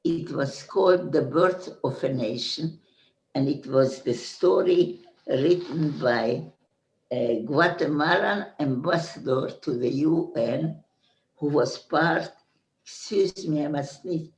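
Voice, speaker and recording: A woman in her nineties with a Hungarian accent, giving slow, halting testimony as a survivor. She often restarts phrases and checks in with "Okay?". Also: sits low. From Hungarian-speaking Central Europe. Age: 90s. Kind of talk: slow personal recollection. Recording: roomy echo.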